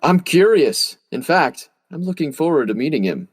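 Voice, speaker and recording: An actor playing a calm, menacing vigilante with a suave, formal manner, in old-time radio drama style. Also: male voice, on the high side, normal speed, slightly rough. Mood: brisk, confident. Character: calm, menacing male crime-fighting vigilante, outwardly suave gentleman.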